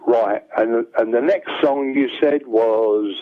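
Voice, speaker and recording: An older man with a bass-baritone voice, telling rambling stories as a songwriter guest. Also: slow. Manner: rambling anecdotes, acts out quoted dialogue. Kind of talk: casual storytelling. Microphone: telephone. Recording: tinny phone line.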